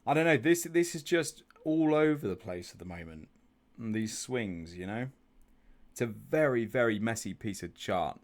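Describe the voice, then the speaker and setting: Low-voiced man talking through charts. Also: animated, moderate pace. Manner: chatty, thinks aloud, repeats words, asks viewers questions. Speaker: trading group host. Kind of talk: live-stream chart analysis.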